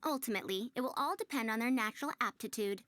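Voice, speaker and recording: A woman's voice: on the high side, animated, fast talker, fairly bright tone, no room sound. A woman playing a prim, proud but kindly young-sounding researcher in a video game, speaking formally and articulately in wordy, old-fashioned phrases. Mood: thoughtful, kindly. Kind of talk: scripted video game character dialogue.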